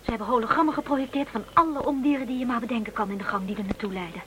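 An actress as a brisk woman explorer reporting back from a space mission. She talks fast in a fairly high voice, in a radio play with faint noise. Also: a bit bright, lightly acted.

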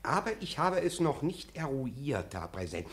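A man's voice: mid-range voice, very animated, normal speed. An actor playing a flustered, obsequious police assessor in a radio play, stammering and hesitant, timidly echoing his superiors. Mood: flustered, servile. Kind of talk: German radio play acting.

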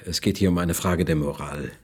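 An actor playing a stern, moralistic boss in a radio play, formal and measured, with a husky voice. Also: male voice, low voice, fairly even intonation. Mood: stern, cold. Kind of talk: German radio play acting.

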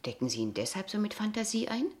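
A woman playing a polite but insistent, quietly disapproving kindergarten teacher in a radio play, asking formal, probing questions. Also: mid-range voice, sing-song, fast talker, lightly acted. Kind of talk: dialogue radio play acting in standard German.

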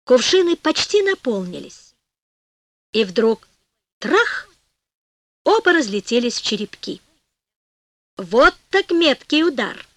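Female audiobook storyteller: expressive, deliberate, slow pace, dry close studio sound. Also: sits high, dry recording. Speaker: audiobook narrator. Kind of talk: expressive fairy-tale narration.

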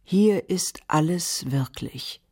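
A woman narrating a literary radio drama in a solemn, measured way, with music behind her. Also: fairly low pitch, animated, mellow, breathy edge. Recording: music under the voice.

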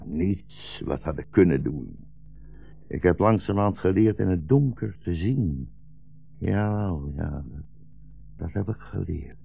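An actor playing a weary, gentle old blind rabbi in a 1960s radio drama, speaking slowly and solemnly as a philosophical storyteller. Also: male voice, low voice, gravelly, breathy edge, exaggerated voice. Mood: bitter, resigned. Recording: dull, roomy 1960s radio recording.

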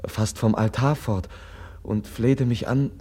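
An actor playing a gentle, compassionate young Russian nobleman in a German radio drama, earnest, halting and soft-spoken, with a husky voice. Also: medium pitch, fairly even intonation. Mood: earnest, measured.

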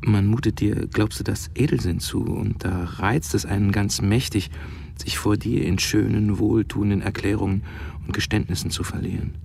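An actor playing a proud, sentimental middle-aged headmaster in a radio drama, gravelly, effusive and halting, both tender and imperious. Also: male voice, on the low side, steady tone, a little mellow, heavily acted. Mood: solemn, pleading. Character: proud, sentimental fallen headmaster, older, confiding yet domineering. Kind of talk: literary radio drama acting.

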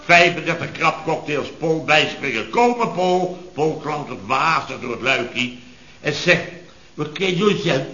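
An actor playing an exasperated boss of a contracting firm, grumbling and ranting sarcastically in an old radio comedy. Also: male voice, a little high, lively intonation, unhurried, pushed and bright. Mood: sarcastic ranting. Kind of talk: 1970s Dutch radio comedy acting. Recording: dull archive radio recording, echoey, audience laughter.

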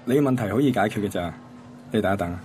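A man's voice: fairly low pitch, racing, a little mellow, some chatter behind, slight background noise, lightly acted. An actor playing an easygoing, reflective young man from a radio drama, with a Hong Kong accent. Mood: easygoing, coaxing. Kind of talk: radio drama dialogue and narration.

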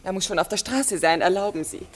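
An actress playing a flirtatious, forgetful, lighthearted circus artiste in a German radio play, in a theatrical, effusive manner. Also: female voice, medium pitch, animated, a little fast, a little hiss or hum, close to an everyday voice. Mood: excited, calling.

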